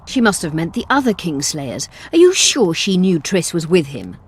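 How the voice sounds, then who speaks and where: An actress playing a self-assured, commanding sorceress in a video game, speaking briskly and formally. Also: female voice, lowish voice, very animated, husky. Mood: guarded. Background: game music.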